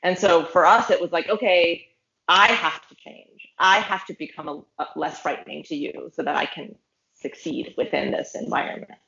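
A woman's voice: fairly low pitch, normal speed, creaky, sharp tone, reverberant, highs cut off. A woman hosting a casual conversation who speaks in a reflective, encouraging way.

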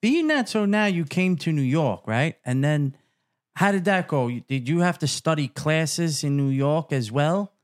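Higher-voiced podcast host, chatty. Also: male voice, expressive, a little slow. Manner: agreeing interjections, loose slang. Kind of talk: casual interview chat.

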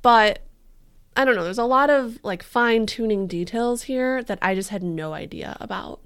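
A woman's voice: a little high, normal speed, a bit bright, clean tone. A young woman co-hosting a casual true crime podcast, joking around and peppering her speech with "like". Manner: bubbly, joking, heavy use of "like". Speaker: podcast co-host.

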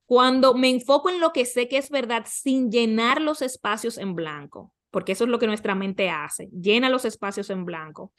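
A woman's voice: fairly high pitch, normal speed, few pauses. A woman speaking as a podcast guest and coach, giving conversational advice and explaining through questions and examples.

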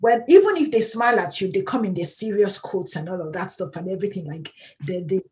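A woman's voice: medium pitch, lively intonation, fast, a little mellow. A woman guest in an interview, talking candidly as a patient advocate in an emphatic, outspoken way and laughing often.

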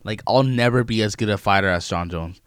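A young man talking in casual podcast chat, loose, profane and rambling, trailing off into asides. His voice is smooth and resonant, and the recording is close and dry with no room sound. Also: lowish voice, fairly quick, fairly bright tone, dry recording.

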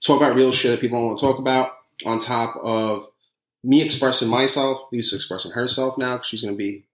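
Brash fast-talking New York man. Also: medium pitch, fairly even intonation, a bit bright, echoey room. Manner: brash, profane, fast rambling banter. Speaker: podcast host. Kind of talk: casual podcast chat.

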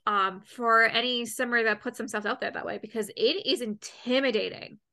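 A woman hosts a relaxed interview with a fairly high, very bright and forceful voice. She talks in a chatty, expressive way and often restarts with repeated words. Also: normal speed. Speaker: host. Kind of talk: casual interview chat.

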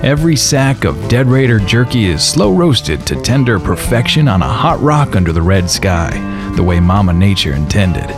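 A man voicing a folksy post-apocalyptic pitchman in a radio ad, with theatrical, deliberate salesman delivery. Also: very animated, music in the background. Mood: hard-selling. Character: folksy post-apocalyptic wasteland pitchman selling raider jerky. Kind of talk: radio ad narration.

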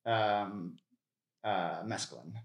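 A man's voice: on the low side, slow pace, crowd noise. A man, a guest comedian, chatting casually in a hesitant way with dry humour. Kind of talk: casual chat. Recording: echoey room with people behind.

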